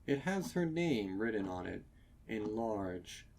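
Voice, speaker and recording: A man narrating a horror story from a script, dramatically, with deliberate pauses and ominous emphasis. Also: fairly low pitch, sing-song, vocal fry, darkish tone. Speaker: fan fiction narrator.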